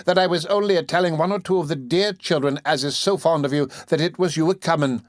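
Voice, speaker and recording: A man's voice: on the high side, fast, no room sound, clean studio sound, pitched above the performer's own voice, natural voice. A man voicing a fawning, scheming woman who runs a nursery for orphans, gushing and wheedling with overdone humility. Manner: gushing, wheedling, overly humble. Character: fawning, scheming middle-aged woman running a workhouse nursery.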